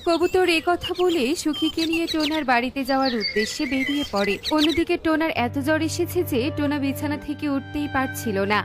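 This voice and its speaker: A woman's voice: high voice, fast talker, few pauses, loud music behind the voice. A woman reading scripted narration for a children's cartoon story.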